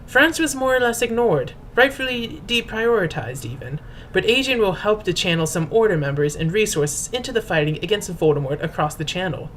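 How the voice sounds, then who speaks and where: A woman's voice: mid-range voice, expressive, fairly quick, few pauses, a bit bright. A woman delivers a dramatic reading with mock grandeur. Her phrasing is formal and pompous, in a mid-range voice. A faint noise sits under the home recording. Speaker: host and reader. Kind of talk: theatrical dramatic reading of fan fiction.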